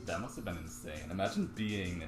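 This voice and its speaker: A man co-hosting a casual podcast, reading a comic's letters page aloud with playful, animated delivery. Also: low voice, fairly quick, reverberant, music in the background. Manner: animated, laughing, reads letters aloud with mock drama. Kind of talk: casual chat and table reading.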